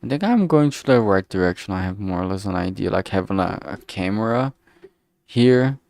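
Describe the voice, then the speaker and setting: A man's voice: fairly low pitch, lively intonation, moderate pace, a little mellow, no room sound, studio quality. A man chatting casually on a livestream, thinking aloud in a hesitant, musing way and often trailing off mid-thought. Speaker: streamer making a visual novel.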